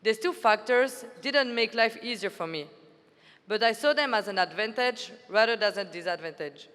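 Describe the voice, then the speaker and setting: A woman's voice: a little high, fairly bright tone. A woman in her twenties from Belgium, an army officer giving an earnest, formal prepared speech at a conference. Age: 20s. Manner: earnest, formal, read-aloud delivery. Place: large conference hall. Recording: hall reverb from a venue PA.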